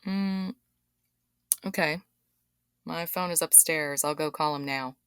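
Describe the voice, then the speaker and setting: A hesitant young woman asks questions in a low, smooth voice, as an actress voices her. The sound is very dry and close, with no room around it. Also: slow pace, a bit bright, dry recording.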